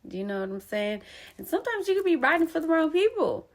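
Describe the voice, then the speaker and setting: A woman's voice: extremely high pitch, very animated, fast talker. A young woman telling her own story of betrayal in an emphatic, casual way with plenty of slang. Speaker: poet and storyteller. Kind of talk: candid personal storytelling.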